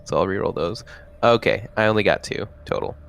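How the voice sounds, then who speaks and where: Gravelly, low-voiced man playing a tabletop game. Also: fairly quick, fairly bright tone. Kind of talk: casual chat.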